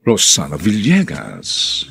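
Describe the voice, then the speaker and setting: Filipino radio drama narrator guy, deep gravelly voice, slow and dramatic. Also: wildly swooping pitch, pushed and bright. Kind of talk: dramatic scripted radio announcing.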